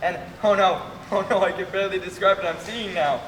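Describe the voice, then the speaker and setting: A man's voice: high-pitched, brisk, distant mic, pitched above the performer's own voice, lightly acted. A man playing an earnest, dramatic radio field reporter in a comic stage radio play. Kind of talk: mock old radio news bulletin on stage. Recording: very noisy, echoey stage from afar.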